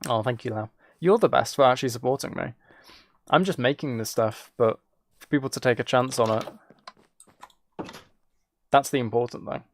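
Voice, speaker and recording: A man's voice: mid-range voice, normal speed, pauses often. A man chatting casually as he streams a game, rambling along in a self-deprecating way. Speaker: live streamer. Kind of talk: casual gaming livestream chat, commentary.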